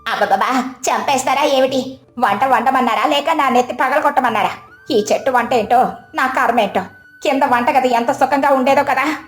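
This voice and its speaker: A woman plays a proud village daughter-in-law in a storybook tale. The voice is high and exclamatory, quick to complain. It sounds echoey, with music underneath. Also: natural voice. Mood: angry, aggrieved. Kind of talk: moral story character acting.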